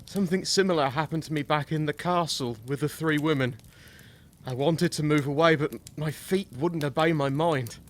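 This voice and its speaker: An actor playing an earnest young gentleman in a gothic audio drama, solemn and measured as he tells the tale. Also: fairly high pitch, lightly acted. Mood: troubled. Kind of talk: audio drama narration and acting.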